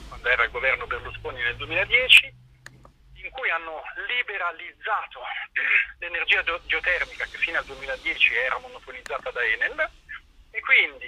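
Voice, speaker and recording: A man's voice: fairly high pitch, sharp tone, husky, poor audio. A man explaining things informally in an interview, earnest, discursive and colloquial. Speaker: activist, interview guest.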